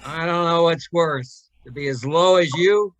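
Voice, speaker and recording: An actor playing a wry, amused saloon bartender in an old-time radio play. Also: male voice, a little high, measured pace, heavy background noise, lightly acted.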